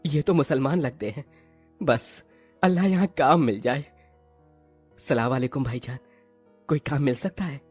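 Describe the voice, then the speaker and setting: A man's voice: medium pitch, moderate pace, pauses often, darkish tone, husky, dry recording, faint music behind, voice pushed up, lightly acted. A man voicing a humble, pious poor father in a meek, pleading manner for a story. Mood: meek, hopeful.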